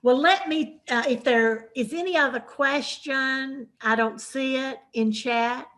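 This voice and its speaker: A woman's voice: high voice, measured pace, a bit bright. Southern woman host, chatty moderator of a book talk, lots of fillers. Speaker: moderator of a women's book program. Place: hybrid in-person and Zoom event.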